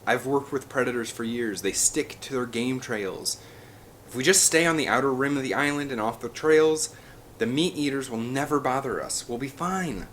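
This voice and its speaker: A man's voice: medium pitch, normal speed, a bit bright, a little hiss or hum, pitched above the performer's own voice, natural voice. A man voicing a headstrong, confident woman scientist in an audiobook, insistent and emphatic. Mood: defiant, assured. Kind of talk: audiobook character dialogue.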